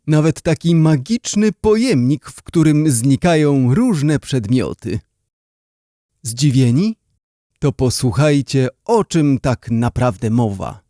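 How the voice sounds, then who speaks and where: Unhurried male storyteller with expressive intonation. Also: medium pitch, no room sound, studio quality. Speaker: narrator. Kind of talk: scripted children's story narration.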